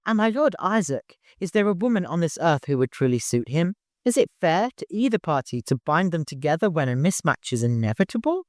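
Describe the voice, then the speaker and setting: A man's voice: a little high, very animated, a little mellow, no room sound, clean studio sound, pitched above the performer's own voice. A man voicing a reserved, plain-spoken caravan guide in an audiobook, earnest and troubled. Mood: doubtful. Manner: blunt, halting, earnest.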